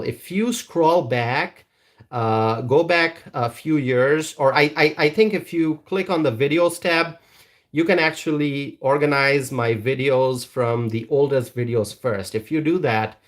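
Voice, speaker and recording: Earnest man chatting to viewers. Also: mid-range voice. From Bangladesh. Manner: earnest, repeats words, many ums and uhs. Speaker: YouTube channel host. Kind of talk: casual livestream chat reading viewer comments. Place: Wisconsin.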